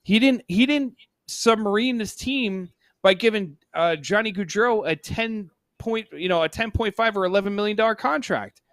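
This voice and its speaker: A man with a somewhat high voice talks sports on a podcast in a very dry-sounding space. He is casual and opinionated, stressing his points with emphatic repetition. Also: moderate pace, fairly bright tone, no room sound. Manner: emphatic, repeats himself for stress. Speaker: hockey podcast co-host.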